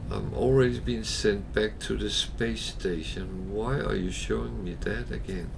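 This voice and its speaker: A slow-talking man gives casual commentary on his game, his husky, crackling voice low and gravelly. He muses aloud, stopping on plenty of fillers. Steady hiss sits under the noisy stream recording. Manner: unhurried, thinking aloud with many fillers.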